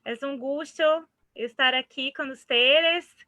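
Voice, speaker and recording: Woman, Brazilian Portuguese accent, high voice, dry close sound, lecturing in halting Spanish. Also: sharp tone, no room sound. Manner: mixes Portuguese words into halting Spanish, frequent restarts. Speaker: seminar speaker. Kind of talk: online seminar lecture.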